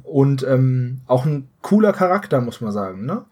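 A male podcast co-host with a slightly husky, mid-pitched voice. He talks casually and analytically, walking through a story step by step and correcting himself along the way. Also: very animated, moderate pace, darkish tone. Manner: analytical, explaining in detail with self-corrections. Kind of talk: casual discussion retelling a story.

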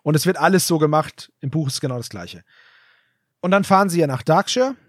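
A man's voice: on the high side, expressive, a little fast, no echo, studio quality. A man co-hosting a podcast, chatting casually and animatedly about an audio drama. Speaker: podcast host.

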